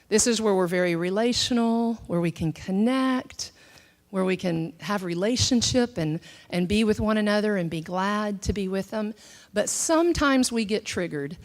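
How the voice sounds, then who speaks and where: A middle-aged woman from the southern United States gives a conversational church teaching and shares her testimony, with many fillers and restarts. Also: mid-range voice. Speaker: speaker at a church teaching session. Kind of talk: teaching talk mixed with personal testimony.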